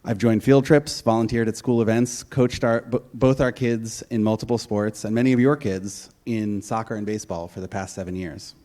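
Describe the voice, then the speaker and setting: A man in his forties reads his prepared candidate statement to a community forum with earnest, steady delivery. His voice sits on the low side. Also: normal speed, hardly any pauses. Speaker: school board candidate. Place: public forum room.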